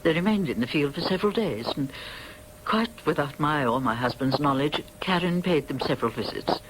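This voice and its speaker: A woman's voice: low-pitched, expressive, fairly quick, slight background noise, low-quality recording. An actress in a radio drama playing a stern middle-aged upper-middle-class English lady, formal and haughty in manner. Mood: grave confession.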